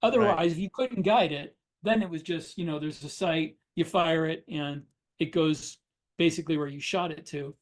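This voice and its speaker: A man's voice: a little high, normal speed. A man chatting casually about his craft as a podcast host and writer. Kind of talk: casual shop talk.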